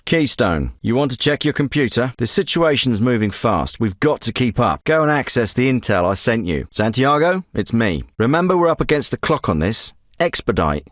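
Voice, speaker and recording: Gruff special-ops handler for a military game, man voicing, middle-aged, curt and bossy, lively pitch, dry studio read. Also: moderate pace, hardly any pauses, dry recording, close to an everyday voice. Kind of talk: game voice acting.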